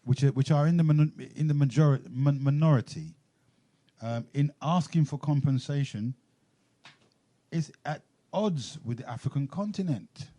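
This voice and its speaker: Dark-toned man questioning on radio. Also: on the high side, no echo. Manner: questioning, measured, halting with "um" and restarts. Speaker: radio talk show host. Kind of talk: radio talk show discussion.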